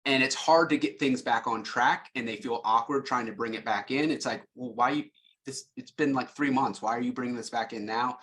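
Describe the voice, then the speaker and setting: A man's voice: medium pitch, fairly quick, fairly bright tone. A man speaking as a sales leader on a panel, plain-spoken and conversational, explaining through acted-out examples.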